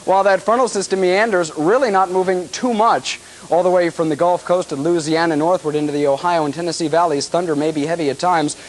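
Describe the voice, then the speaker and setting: Man, American, high voice, chatty weather forecast, clipped casual phrasing, faintly hissy 1980s broadcast tape. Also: steady tone, brisk, few pauses. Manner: breezy, conversational, clipped phrases.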